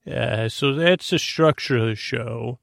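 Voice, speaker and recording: A man hosting a bedtime podcast, rambling in a halting, meandering way with a creaky, mumbly voice and self-deprecating asides. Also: mid-range voice, a bit bright, dry recording, clean studio sound. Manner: meandering, halting, stumbling over words. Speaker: podcast host and storyteller. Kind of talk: rambling bedtime monologue to help listeners sleep.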